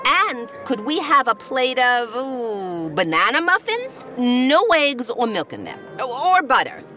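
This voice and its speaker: A brash, worldly society widow drawls slowly in a bright, forceful voice. She is vain, knowing and theatrical, an audio drama socialite. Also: fairly high pitch, very animated, faint music behind, exaggerated voice. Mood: dismissive.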